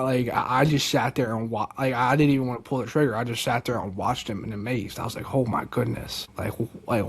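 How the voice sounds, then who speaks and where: A young man from Delaware, a podcast guest, telling a story casually and excitedly, with lots of "like" and "dude". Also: mid-range voice, breathy edge. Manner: animated, many fillers like "like" and "dude".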